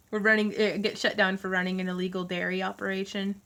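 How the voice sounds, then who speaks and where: A woman's voice: mid-range voice, little pitch movement, fairly quick, a bit bright. A woman hosting a casual craft livestream, chatty and laughing often.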